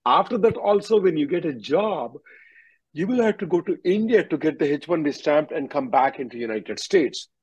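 A husky-voiced man giving direct, instructive legal advice as the attorney hosting a question-and-answer call. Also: a little high, animated, normal speed, a little mellow, no room sound. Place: conference call, close dry sound. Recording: dull, muffled conference-call audio.

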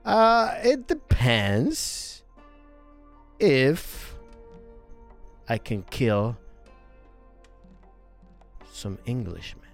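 A man improvising a proud, grim Norwegian in medieval Nottingham, with a mock Norwegian accent and slow, halting deadpan delivery. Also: mid-range voice, gravelly, faint music behind, exaggerated voice.

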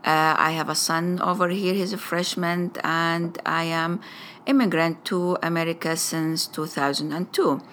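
A woman with an Iraqi Arabic accent, her native tongue Arabic, telling her story in slow, careful English. Her voice sits a little low, and she pauses often as she picks her words. A faint hiss runs under the recording. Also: steady tone, fairly bright tone. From Iraq. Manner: careful, deliberate phrasing with frequent hesitations. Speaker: parent sharing her background. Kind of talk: personal testimony to a school audience.

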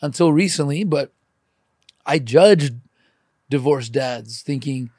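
A man's voice: medium pitch, takes it slowly, darkish tone, dry recording, clean studio sound. A middle-aged man in casual, confessional conversation, speaking candidly and emphatically, swearing and peppering his talk with "man" and "dude.". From born in Ukraine, emigrated at age four.

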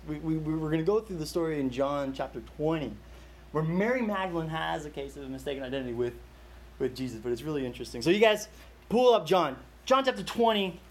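A young man leading a Bible study, telling a personal story in a casual, chatty way with many fillers and restarts. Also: fairly high pitch.

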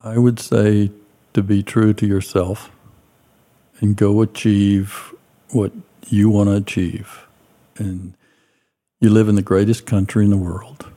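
A man from Georgia with a low, mellow voice speaks as a podcast guest. He talks with plain, sincere conviction. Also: little pitch movement, slow, long pauses.

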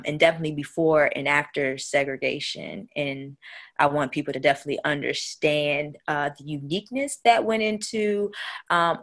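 Low-voiced young woman explaining research. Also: fairly bright tone. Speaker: guest academic researcher. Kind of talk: explanatory interview talk.

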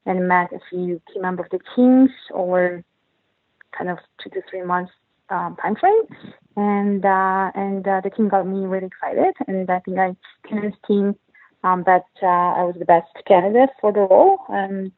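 A woman's voice: mid-range voice, no echo. A woman from northeast China, an English speaker, answering interview questions in a hesitant way with many fillers and restarts. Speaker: guest, company finance chief. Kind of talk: podcast interview, casual chat. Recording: dry tinny phone-like line.

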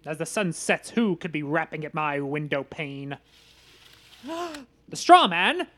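A man's voice: a little high, very animated, slow pace, pushed and bright, full character voice. A man voicing a nervous, stammering, overmedicated teenage boy plotting villainy. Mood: frantic. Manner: jittery, stammering, melodramatic.

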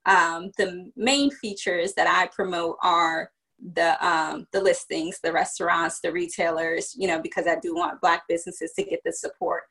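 A woman's voice: on the low side, level tone, normal speed, a bit bright, slightly rough, rough recording. A woman from Virginia, a business founder chatting as a podcast guest and explaining things step by step with plenty of fillers. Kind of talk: casual podcast interview.

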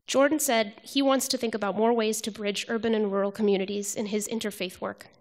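Woman, prepared essay reading, even, measured, public event. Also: mid-range voice. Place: arts center event hall.

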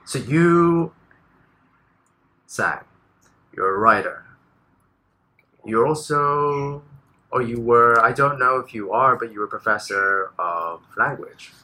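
A man hosts a relaxed interview, tossing out short, teasing questions and laughing readily. His voice is strikingly bright, and his pitch swings widely as he talks. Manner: playful, quick to laugh, short prompts. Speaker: interviewer host. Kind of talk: casual interview chat.

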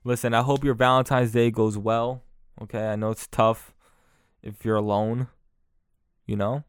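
A man's voice: medium pitch, little pitch movement, moderate pace, clean tone, dry recording, clean studio sound. A young man, hosting his own podcast in a loose, profane, mocking solo rant full of restarts. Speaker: podcast host.

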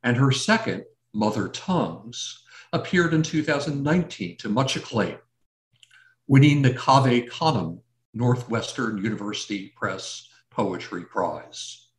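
A man's voice: mid-range voice, expressive, unhurried. Man hosting an event, formal introduction, set back from the mic in a roomy hall. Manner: reads a list of credits with a slip. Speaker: host introducing a speaker. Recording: echoey room, mic at a distance.

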